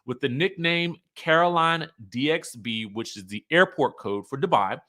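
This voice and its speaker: A man's voice: on the high side, normal speed, sharp tone. A man hosting a reality TV commentary show, speaking in a conversational, emphatic, opinionated way, sometimes reading out cast bios.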